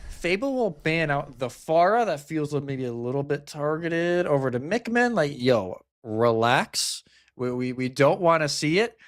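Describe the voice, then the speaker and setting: A man's voice: fairly high pitch, sing-song, measured pace. A man doing live esports commentary in a casual, colloquial way, sometimes voicing imagined reactions as he explains.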